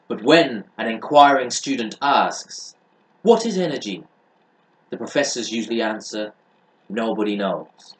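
A man's voice: medium pitch, rough recording. A young man reading a book aloud in a declamatory, emphatic manner, recorded in a small room. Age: young adult. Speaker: reader.

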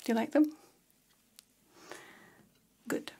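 A woman in her thirties playing a gossipy, catty vampire hairdresser in an ASMR roleplay, whispering in a breathy, confiding way close to the mic. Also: lightly acted. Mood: soothing, intrigued. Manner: slow, hushed, confiding. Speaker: ASMR performer. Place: small decorated room, indoors. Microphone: close ASMR microphone.

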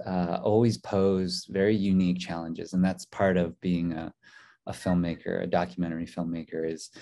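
A man's voice: deep voice, mellow. A man in his forties, a documentary co-director, speaking thoughtfully on a video call from a hotel room, with many fillers and restarts. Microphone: video call webcam.

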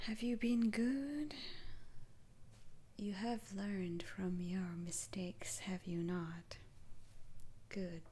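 Slow whispering witch doctor played by a woman. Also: medium pitch, a little mellow, a little hiss or hum, lightly acted. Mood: musing, sly. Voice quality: breathy whisper. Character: female witch doctor, soothing, faintly sly curse remover. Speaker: ASMR roleplay performer. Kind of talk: whispered ASMR roleplay.

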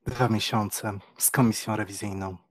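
A man playing an eager, greedy young aristocrat in a dramatized audiobook, with a husky voice. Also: fairly low pitch, steady tone, normal speed, close to an everyday voice.

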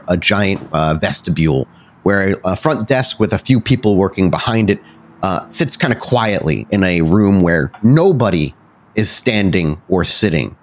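A man running a vampire tabletop game as storyteller, improvising wordy, descriptive narration and correcting himself as he goes. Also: low-pitched.

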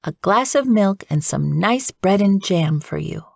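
A woman's voice: medium pitch, animated, measured pace, vocal fry, a little mellow, no room sound, clean studio sound. Woman voicing a patient, motherly badger. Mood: reassuring. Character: calm, caring mother badger, brisk and reassuring. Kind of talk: storybook character voice.

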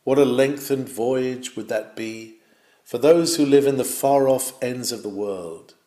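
A man reads a sermon aloud in a solemn, declamatory manner, now and then rising in fervour. His voice is of middle pitch and carries a roomy echo. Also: dark tone. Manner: measured and declamatory, with swells of fervour. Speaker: reader of a historic sermon. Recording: roomy, echoing sound.

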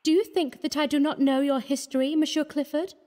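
A man reading an audiobook, voicing an earnest, pleading young woman of the artists' quarter in a soft, reproachful manner. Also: fairly even intonation, fairly quick. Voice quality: high and fluttering.

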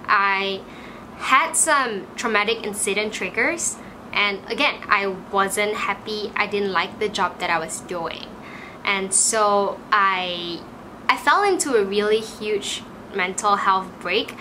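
A woman's voice: mid-range voice, slow pace, background noise. A woman in her twenties with a Malaysian English accent, chatting casually to camera in a small room, full of "like" fillers. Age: 20s. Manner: chatty, frequent "like" fillers, animated. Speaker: vlogger.

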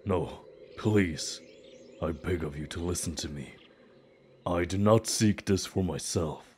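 A man playing a desperate, grieving father who pleads humbly and haltingly in a fantasy audio drama. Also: sits low, darkish tone, a little hiss or hum. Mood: pleading. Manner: slow, halting, earnest pleading.

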